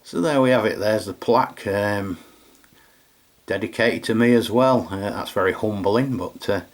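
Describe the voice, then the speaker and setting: A man's voice: fairly low pitch, normal speed. A man with an English accent giving a casual hands-on product review in an informal, explanatory way. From England. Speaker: radio reviewer and channel host.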